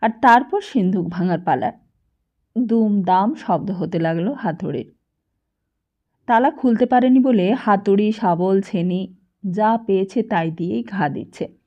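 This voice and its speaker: A woman narrates literary fiction in a slow, dramatic voice of medium pitch. She lets her phrases breathe with deliberate pauses. The recording is somewhat dull and lo-fi. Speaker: audiobook narrator. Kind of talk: expressive literary audiobook narration. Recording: dull, lo-fi sound.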